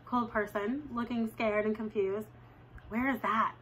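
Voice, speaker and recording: A young woman reading a children's novel aloud at home, with lively jumps in pitch when she reads dialogue. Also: unhurried, slightly rough, poor audio. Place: living room at home, indoors.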